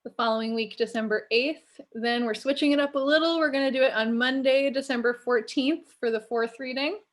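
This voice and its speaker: A woman hosting an online community event with an informal welcome, speaking in a somewhat halting way. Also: sits high. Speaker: host, assistant library director.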